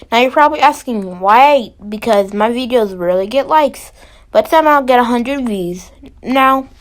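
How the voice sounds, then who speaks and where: A woman giving informal, opinionated ranking commentary in a playfully dramatic way. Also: on the high side. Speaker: video host.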